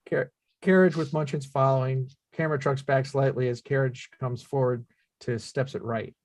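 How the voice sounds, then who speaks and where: A man's voice: medium pitch, fairly even intonation, moderate pace, darkish tone, no echo. A man reading screenplay action lines as scripted narration at a table read, plain and factual with small stumbles. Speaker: stage-directions reader.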